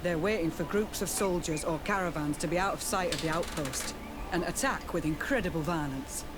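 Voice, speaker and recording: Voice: mid-range voice, fairly quick, noisy background, close to an everyday voice. An actor playing a stern military captain in a video game, reporting formally and grimly with respect. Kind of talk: scripted video game dialogue.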